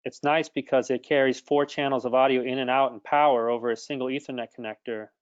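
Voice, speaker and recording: A man with a smooth, clear voice explains audio gear as a guest expert. His intonation stays flat and even. The call audio is a little dull. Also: mid-range voice, fast, no echo. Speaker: guest product expert from an audio equipment maker. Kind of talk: explanatory tech talk. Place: online video call.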